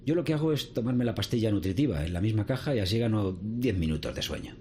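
An actor with a Castilian Spanish accent playing a world-weary middle-aged seaweed farm worker in conversational radio drama. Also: male voice, lowish voice, lively intonation, quick pace, mellow, natural voice. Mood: friendly, practical. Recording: faint background noise and ambience.

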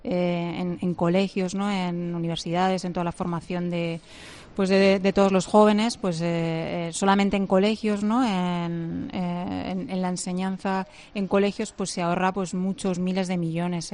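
A woman speaks as a church spokesperson in an interview, her voice sitting low and flat. She hesitates often, with many fillers and restarts as she explains figures. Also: moderate pace. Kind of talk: interview answers.

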